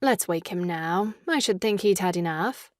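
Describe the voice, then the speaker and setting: A woman playing a gentle, fond young sister in a comic stage farce, with a coaxing, soft-hearted manner. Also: lowish voice, expressive, moderate pace, no room sound, studio quality, natural voice.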